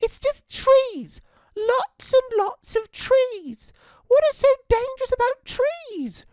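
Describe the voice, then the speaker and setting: A squeaky, stubborn little girl. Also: big pitch swings, slow pace, dry recording, exaggerated voice. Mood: grumbling. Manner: whiny, insistent. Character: eager, stubborn seven-year-old girl.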